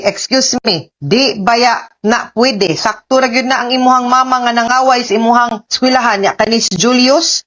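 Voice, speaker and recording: A woman's voice: mid-range voice, fairly bright tone. A woman with a Cebuano accent hosting a radio advice show, chatty and informal, dropping in English asides and tag questions. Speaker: radio host and lawyer giving legal advice.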